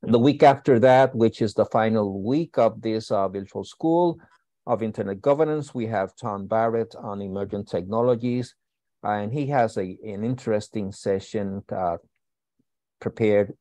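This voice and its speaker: A man hosting an online school session, giving housekeeping announcements in a halting way with many uh fillers. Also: lowish voice, warm tone, no room sound. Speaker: host and school founder. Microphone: video call.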